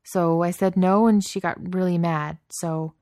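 A woman's voice: lowish voice, fairly even intonation, measured pace, darkish tone, no room sound, clean studio sound, close to an everyday voice. A woman voicing a huge but timid, apologetic young male beast in a story, hesitant and stammering, with teenage slang. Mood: awkward, confiding. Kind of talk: audiobook character voice.

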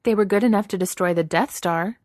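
A woman reader plays a guarded young princess leading rebels in a space-opera story. She is assertive and wry, pitched above the narration, with a dry close sound. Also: brisk, a little mellow, no echo, close to an everyday voice. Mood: defiant.

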